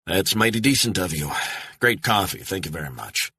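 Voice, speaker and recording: A man's voice: sits low, big pitch swings, moderate pace, sharp tone, husky, no room sound, heavily acted. A man voicing a terse American rogue operative. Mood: guarded. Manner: terse, laconic.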